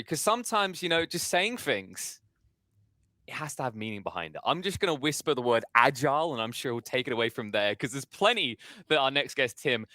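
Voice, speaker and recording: A man hosts an event, introducing the next speaker with rambling enthusiasm. His voice is bright and lively, moving up and down a lot. The sound is dry and close. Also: medium pitch, no room sound.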